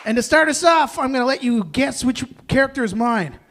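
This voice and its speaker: A man hosting a live stage event, announcing informally with halting, self-interrupting delivery. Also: extremely high pitch, expressive, a bit bright, a little hiss or hum. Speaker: host of a live table read.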